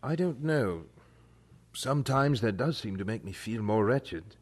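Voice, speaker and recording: A man's voice: lowish voice, animated, a little slow. An actor playing a troubled, restless young Englishman in an audio drama, earnest and dramatic in delivery. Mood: anguished, restless. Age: young adult.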